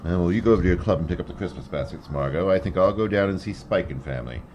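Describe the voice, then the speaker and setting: A man playing a genial, amused young gentleman with bantering, hesitant delivery in a live old-time radio drama. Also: deep voice, animated, racing, a little hiss or hum. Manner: hesitant uh-filled, chuckling banter. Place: live performance in a hall.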